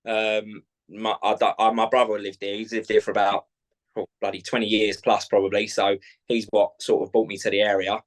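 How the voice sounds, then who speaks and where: A man's voice: on the low side, level tone, brisk. A man in his thirties from South London, with a South London accent, talking casually and rambling with fillers as an interview guest. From South London, England. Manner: chatty, rambling with many fillers and restarts. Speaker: club owner, guest.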